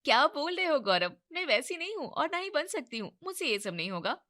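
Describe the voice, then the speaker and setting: A sassy young woman, voiced by a woman, pitched high and bright with a quick, cheeky tongue. She sounds like a storybook heroine. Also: sing-song, heavily acted.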